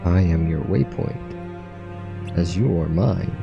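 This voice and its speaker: Guy doing a stern mysterious old man from a video game, low and solemn, music behind. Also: takes it slowly, creaky, lo-fi sound, exaggerated voice. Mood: solemn, cryptic. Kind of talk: reading game dialogue aloud.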